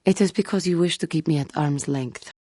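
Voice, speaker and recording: A low, dark female voice for a feline alien warrior monk in a video game. She is solemn and proud with old wounds underneath, choosing formal words in a guarded, earnest manner. The tone is husky and close. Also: dry recording. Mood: hurt, guarded. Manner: formal, measured, guarded, earnest, avoids contractions. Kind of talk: video game character dialogue.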